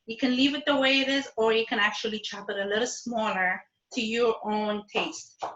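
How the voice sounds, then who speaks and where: Woman, cooking teacher, video call, bright clear voice, echoey muffled kitchen. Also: a little high. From Ethiopia, Eritrea. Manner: friendly, patient, checks in with students. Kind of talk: live online cooking lesson.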